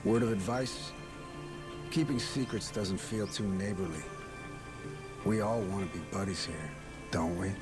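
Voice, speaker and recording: A burly game enforcer who sounds falsely friendly. His voice is on the low side and carries veiled menace, with music clearly behind it. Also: measured pace, pauses often. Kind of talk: video game cutscene acting.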